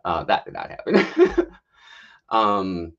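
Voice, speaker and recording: A man in his late thirties from Mexico, telling his life story on a livestream with candid, self-mocking humor. His voice sits a little low. The stream audio is muffled and lo-fi. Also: wildly swooping pitch, slow, fairly bright tone. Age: 30s. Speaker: guest health coach.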